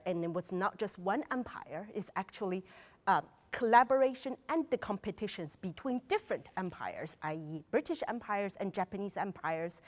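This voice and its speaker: Chinese-accented woman in her 30s, mid pitch, lots of uhs and restarts, kinda echoey hall sound. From China. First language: Mandarin. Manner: thoughtful, with frequent fillers and restarts. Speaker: research fellow. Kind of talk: interview answers. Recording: long reverb of a hall.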